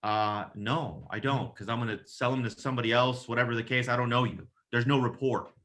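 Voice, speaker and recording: A man's voice: mid-range voice, steady tone, a little fast, a bit bright. A man working as a real estate agent, giving casual, emphatic advice in a conversational coaching style and acting out example phone calls. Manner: emphatic, casual, mildly profane, checks in with "okay?".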